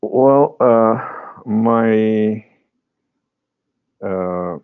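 A man whose first language is Portuguese, a psychotherapist speaking as a guest in a casual interview, halting with many fillers and restarts. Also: fairly low pitch, clean tone. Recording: dull lo-fi audio.

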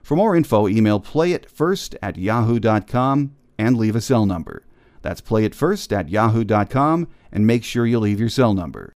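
A man's voice: lowish voice, big pitch swings, moderate pace, a little mellow, no echo, studio quality. An American man hosting a sports podcast, delivering a scripted monologue. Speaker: podcast host.

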